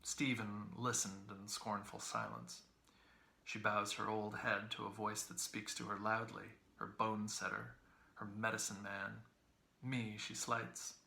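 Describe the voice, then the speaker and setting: Creaky-voiced man narrating, echoey room. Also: lowish voice, flat delivery, a little slow, bright, forceful tone. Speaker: audiobook reader, English professor. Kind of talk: expressive literary narration.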